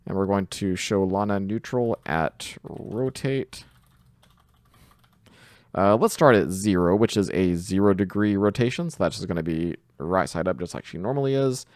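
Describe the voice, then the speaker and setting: A man's voice: sits low, moderate pace, a little mellow, no echo. A man giving a casual coding tutorial, thinking aloud informally as he works through it. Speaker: tutorial presenter.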